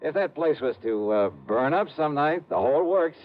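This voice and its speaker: Man playing a tough private eye, 1950s radio drama, animated delivery, lo-fi sound, music behind. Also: on the high side, normal speed, natural voice. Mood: bluffing. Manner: wry, tough, narrating in first person. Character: hardboiled male insurance investigator. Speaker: lead and narrator. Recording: dull old radio broadcast with music.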